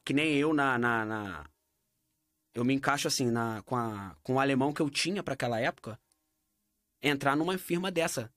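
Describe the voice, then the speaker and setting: A man from Brazil with a Brazilian Portuguese accent, in a relaxed talk, often stumbling and repeating words as he searches for what to say. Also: medium pitch, moderate pace, no echo. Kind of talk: casual conversation.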